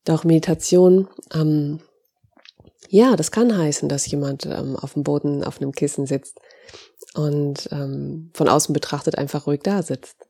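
A woman with a cold speaks in a low, gravelly voice, hoarse and congested. She talks gently and encouragingly as a coach, dropping plenty of small fillers. Her tone is dark and mellow. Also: fairly even intonation, moderate pace. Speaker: holistic coach and podcast host. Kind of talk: podcast monologue.

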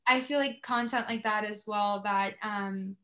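A woman's voice: mid-range voice, steady tone, unhurried, bright, forceful tone. A woman hosting a podcast, speaking in a conversational interview style. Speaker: podcast host.

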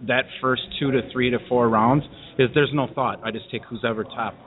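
A man's voice: medium pitch, steady tone, fairly quick. A man guesting on a casual fantasy football podcast. He talks in dry, blunt one-liners. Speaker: guest player. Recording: phone-like narrow sound.

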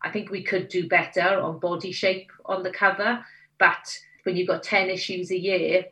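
A Welsh woman in middle age, guesting on an interview podcast. Her voice sits a little low yet rings bright and forceful. She speaks frankly and stresses her points with conviction. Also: level tone, normal speed, low-quality recording. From Wales. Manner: candid and emphatic. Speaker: magazine editor, guest.

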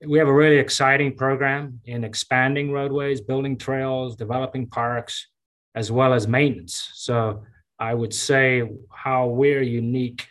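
A man in his fifties from Zambia, a county engineer giving relaxed, chatty interview answers with many fillers and restarts. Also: medium pitch, unhurried. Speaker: county engineer and public works director.

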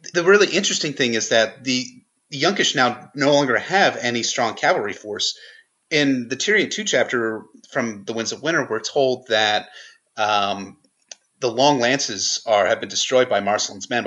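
A man with a bright, forceful voice talks through an analysis as a podcast guest. He explains point by point, often restarting his phrases. The recording is a little dull and lo-fi. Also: medium pitch. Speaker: guest commentator. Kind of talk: podcast analysis, unscripted discussion.

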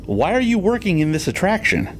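An actor playing a puzzled, wry tour guest in a comedic audio drama. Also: male voice, sits high, big pitch swings, brisk, a little hiss or hum.

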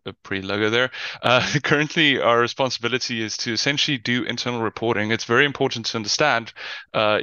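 A man's voice: lowish voice, pushed and bright, smooth, no room sound, muffled recording. A man with a South African accent giving a conversational webinar presentation, with many fillers and restarts. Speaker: guest presenter, business intelligence lead.

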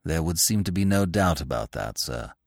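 A man's voice: extremely low pitch, lively intonation, normal speed, vocal fry, no room sound, studio quality, pitched below the performer's own voice, full character voice. A man voicing a grave, unflappable middle-aged valet who speaks formally and deferentially. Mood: composed.

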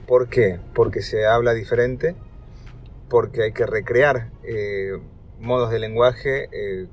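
A man's voice: medium pitch, steady tone, takes it slowly, a little hiss or hum. A man explaining his work as a director in an interview. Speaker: TV series director being interviewed.

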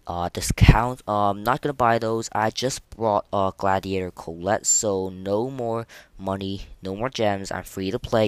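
A man hosting a casual solo gaming podcast, speaking in a halting way and often stumbling and repeating words. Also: fairly low pitch, clear, resonant tone, no echo. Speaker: podcast host.

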